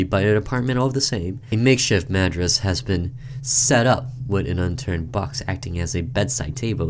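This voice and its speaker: A low-pitched man hosts a game playthrough, reading the story text aloud. His delivery is deliberate and dramatic, loosened by casual asides. Some background noise sits under the voice. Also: a little mellow. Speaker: gaming video host. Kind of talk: reading visual novel narration aloud with casual commentary.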